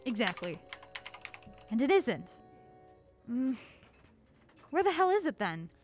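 Feisty young female sleuth played by an actress, high swooping voice, brisk and sardonic, impatient, audio drama. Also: big pitch swings, over music. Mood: anxious, frustrated. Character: feisty, quick-witted young woman, impatient amateur sleuth.

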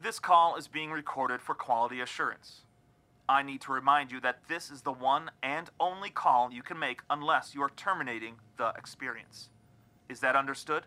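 Man acting a bright, officious hotline operator. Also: medium pitch, moderate pace, faint music behind, lightly acted. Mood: impersonal, officious. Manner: clipped, officious. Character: formal, impersonal game hotline operator. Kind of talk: audio drama acting.

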